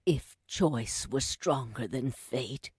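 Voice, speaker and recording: A dying old grandmother from a fantasy video game. Her voice is deep, husky and breathy. She speaks with drawn-out, solemn urgency, pleading like a remorseful mentor. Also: a little mellow, dry recording, clean studio sound, heavily acted. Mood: grave, weak. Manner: slow, solemn, pleading storyteller delivery. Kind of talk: dramatic video game voice acting.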